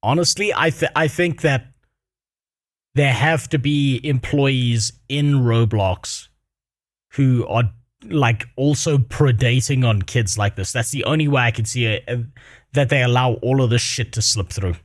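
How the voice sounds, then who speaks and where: A man's voice: mid-range voice, a little slow, dry recording, clean studio sound. A man co-hosting a stream, reacting bluntly and crudely, rambling with lots of fillers. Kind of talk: casual reaction chat.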